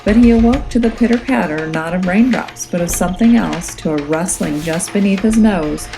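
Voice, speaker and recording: A woman reading a children's story aloud as a teacher, in a measured, expressive and suspenseful way. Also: fairly low pitch, gravelly. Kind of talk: dramatic children's storybook narration. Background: music and nature sounds.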